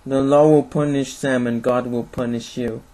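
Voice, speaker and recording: A woman voicing a leering, drunken small-town male sheriff with a slow drawl, in a storybook reading. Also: rumbling low, fairly even intonation, warm tone, pitched below the performer's own voice, low-quality recording. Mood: taunting menace.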